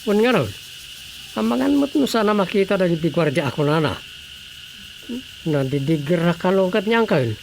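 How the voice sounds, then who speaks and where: A man's voice: sits high, lively intonation, normal speed, creaky, long pauses, a little hiss or hum. An actor playing a comic grown son with an Ilocano Filipino accent, helping his father in a radio drama comedy. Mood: casual.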